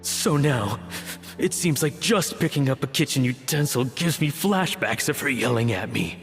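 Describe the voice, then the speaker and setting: A man's voice: medium pitch, lively intonation, moderate pace, soft background music, close to an everyday voice. A man voicing a dejected, self-doubting young chef in an anime game, hesitant and downcast. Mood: dejected, anxious.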